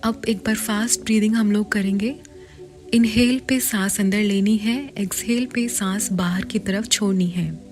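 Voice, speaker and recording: A woman with an Indian accent narrates a guided meditation at an unhurried, slow pace. Her delivery is soft and soothing, close to a breathy whisper at times, giving gentle instructions. Clear music plays behind her mid-pitched voice. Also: fairly even intonation. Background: background music.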